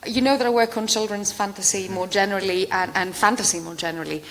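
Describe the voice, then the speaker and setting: A woman whose first language is Greek talks as a scholar guest before a live audience. She speaks at a middle pitch, measured and reflective, often letting phrases fade away quietly. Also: fairly even intonation, rough recording. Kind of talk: live interview answers.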